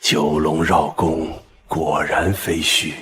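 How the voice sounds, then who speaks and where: A man playing a shrewd, proud old emperor in an animated palace drama, measured and regal. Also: extremely low pitch, noisy background. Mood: impressed. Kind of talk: Chinese animated web drama voice acting.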